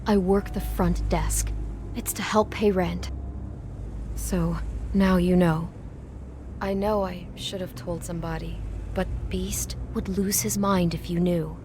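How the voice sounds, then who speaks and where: A woman's voice: fairly low pitch, fairly even intonation, slow pace, noisy background. A woman voicing an anxious young woman in a video game, hesitant and earnest as she confides. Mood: anxious, confiding. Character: anxious, proud young woman hiding money troubles. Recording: music behind the voice.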